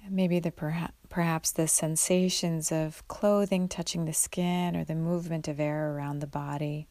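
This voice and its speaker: Casting: female meditation guide, low register, gravelly fry at the edges, gentle unhurried delivery, close dry sound. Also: steady tone, dry recording. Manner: gentle, unhurried, with long pauses. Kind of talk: guided mindfulness meditation, instructional.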